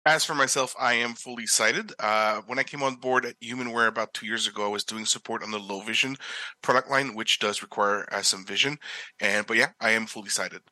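Guest, male, Québécois French accent, French native, bright and lowish voice, dry close sound. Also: brisk, no echo. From Quebec. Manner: relaxed explanatory, with many "uh" fillers. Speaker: product manager guest. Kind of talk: casual interview talk.

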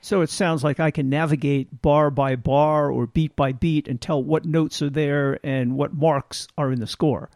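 Slightly high-voiced male interviewer. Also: steady tone, a little slow, hardly any pauses, darkish tone, no echo, studio quality. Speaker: interviewer, host. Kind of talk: interview questions.

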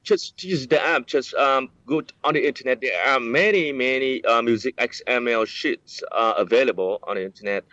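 A man's voice: mid-range voice, normal speed, few pauses, pushed and bright, no echo. Hesitant man, dull lo-fi sound. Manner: hesitant, many fillers and restarts. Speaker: app developer, interviewee. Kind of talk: explaining, casual interview.

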